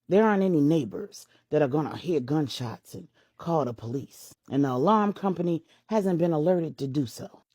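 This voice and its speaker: Woman acting out a husky, battle-ready male soldier. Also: low-pitched, warm tone, no echo, clean studio sound, voice pushed down. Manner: blunt, slangy, defiant. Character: battle-ready tough male soldier briefing his men. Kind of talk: audio storytelling dialogue.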